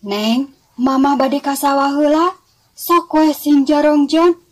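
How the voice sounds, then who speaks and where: A woman voicing a caring village mother in a children's story, practical, motherly and gently bossy as she instructs. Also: high voice, slow pace, pitched above the performer's own voice. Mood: kindly inviting.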